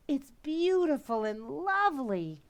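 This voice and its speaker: A woman's voice: high voice, sing-song, unhurried, full character voice. A woman playing a gushing, dreamy young girl in an old-time radio comedy, earnestly romantic and emphatic. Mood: swooning.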